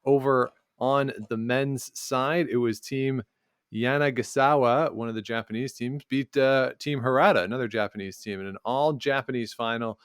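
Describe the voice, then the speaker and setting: A man's voice: medium pitch, fairly even intonation, moderate pace, clear, resonant tone, no room sound, studio quality. A man co-hosting a sports podcast, chatting casually and rambling, often correcting himself mid-sentence. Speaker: podcast co-host.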